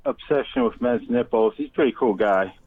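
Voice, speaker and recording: A man's voice: medium pitch, a little fast, dry recording. A man calling in to a show for a casual chat over a thin phone connection. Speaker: caller. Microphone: phone call. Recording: thin, narrow phone line.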